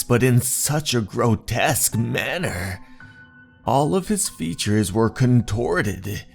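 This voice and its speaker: A man narrating a scripted horror story in a deliberate, suspenseful, dramatic manner over music. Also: mid-range voice, creaky. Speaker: narrator of horror manga stories. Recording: music under the voice.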